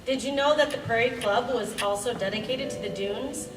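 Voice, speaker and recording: A woman's voice: a little high, normal speed, close to an everyday voice. Woman as an enthusiastic landscape architect, echoey room. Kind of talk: amateur radio play, scripted speech. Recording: roomy, distant mic, music behind.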